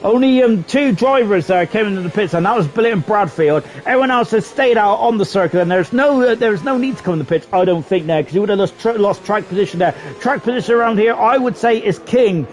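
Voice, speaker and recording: A man giving live sim racing commentary in a chatty, excitable way, often ending sentences with tag questions. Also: high-pitched, quick pace, hardly any pauses. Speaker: esports race commentator.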